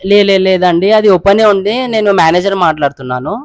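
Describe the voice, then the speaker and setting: High-pitched, fast-talking young man. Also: dry recording, studio quality. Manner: mixes English words into casual speech. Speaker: prank caller and video host. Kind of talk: casual prank phone chat.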